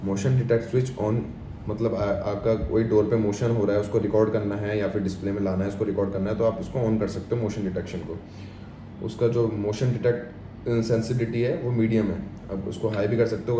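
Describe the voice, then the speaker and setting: An echoey, noisy room recording of an Indian man with a fairly low voice. He walks through a product step by step in an informal, explanatory way. He repeats himself and drops English terms into his speech. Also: fairly even intonation, fairly quick, mellow. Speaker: product demonstrator. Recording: echoey room with clear background noise.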